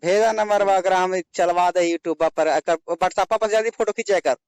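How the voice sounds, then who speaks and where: A man's voice: sits high, normal speed, darkish tone, clean tone, dry recording. A man with a Bihari accent in an informal phone call, talking bluntly and coarsely with swearing. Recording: phone call recording, close and dry.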